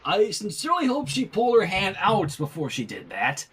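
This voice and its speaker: A man reading fan fiction aloud in an animated style, with casual asides. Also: high voice, normal speed, husky.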